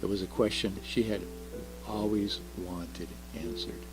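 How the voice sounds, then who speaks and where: A man reading a children's story aloud as an expressive narrator. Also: low voice, a little slow, rough recording. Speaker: story reader. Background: music under the reading.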